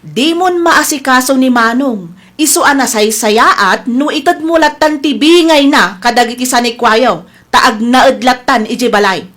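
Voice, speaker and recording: An actress in a radio drama playing a pushy, scheming sister-in-law who talks in an insistent, coaxing way. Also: female voice, high-pitched, unhurried, a bit bright.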